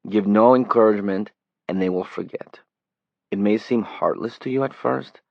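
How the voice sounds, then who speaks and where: A man voicing an earnest older male doctor giving measured, emphatic advice. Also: lowish voice, creaky, no echo, muffled recording.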